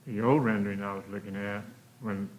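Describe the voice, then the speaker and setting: Lowish-voiced man asking questions in a meeting. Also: steady tone, fairly quick, rough recording. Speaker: commission member questioning a presenter. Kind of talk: informal questions at a public meeting. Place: public meeting room.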